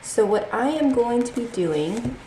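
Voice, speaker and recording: A woman's voice: on the high side, lively intonation, warm tone, roomy sound, slight background noise, poor audio. Congested woman chatting through her budget. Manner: chatty, reads out figures slowly. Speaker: budgeting channel host. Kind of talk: casual budgeting walkthrough.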